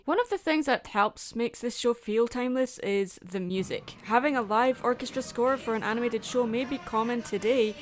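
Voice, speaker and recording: High-voiced woman narrating a video essay. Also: fairly bright tone. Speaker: host of a cartoon review channel.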